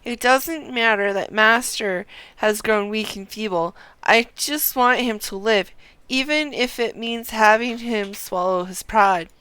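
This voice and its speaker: Casting: a woman plays devoted young-sounding refugee brawler in a game cutscene, earnest, pleading, measured, clear delivery. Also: on the high side, fairly bright tone, low-quality recording. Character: earnest male refugee fighter, devoted to his ailing master.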